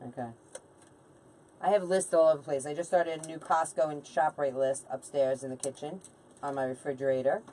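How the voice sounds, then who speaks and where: A woman's voice: low-pitched, steady tone, brisk, dark tone, distant mic, a little hiss or hum. A woman in her late forties chatting casually and informally as she hosts a craft livestream, bantering with viewers and a helper. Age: late 40s. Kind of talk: casual live chat while crafting.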